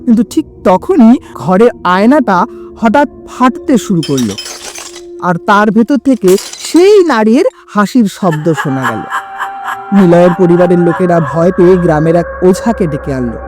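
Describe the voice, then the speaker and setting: Lively woman narrating a horror tale. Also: lowish voice, normal speed, music in the background. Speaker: storyteller.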